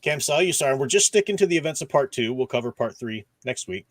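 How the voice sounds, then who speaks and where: A man hosts a casual livestream discussion in a medium-pitched voice. The sound is dry and close. He explains in a conversational way, working through his points and often adding "you know". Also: expressive, fast, no echo. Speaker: host of a book discussion stream. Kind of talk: casual livestream discussion of comics and radio dramas.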